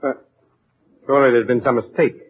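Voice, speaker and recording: Crooked, cautious fixer man acting, medium-pitched husky voice, oily courtesy, boxy old radio recording. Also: steady tone, a little fast, natural voice. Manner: precise, oily, formal politeness. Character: shady, cautious fixer, formally polite and scheming. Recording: muffled lo-fi 1940s radio recording.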